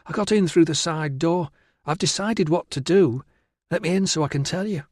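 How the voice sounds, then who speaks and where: A man narrator plays a silly, excitable young girl in an audiobook. The voice is pushed high, gushing and whiny, and always insistent. The sound is close and dry. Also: normal speed, no room sound, lightly acted. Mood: eager. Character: silly, pleading, excitable teenage British girl. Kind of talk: audiobook character voice.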